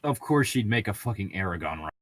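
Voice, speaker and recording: A man casually reading aloud and bantering with friends, exasperated, sardonic and quick to swear. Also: on the low side, big pitch swings, dry recording. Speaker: podcast co-host reading aloud. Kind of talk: casual group fan fiction read-aloud with banter.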